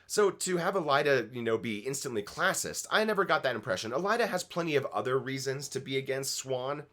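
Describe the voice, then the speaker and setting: A man's voice: medium pitch, lively intonation, brisk, fairly bright tone. A man casually giving opinionated commentary as co-host of a fan review show, emphatic and swearing freely. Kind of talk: casual opinionated episode discussion.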